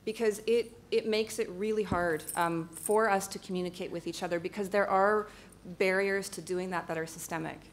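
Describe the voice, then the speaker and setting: A young adult woman physician answering on a conference panel in a measured, conciliatory way, speaking into a table microphone in a large hall. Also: medium pitch, fairly even intonation. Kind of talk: panel discussion.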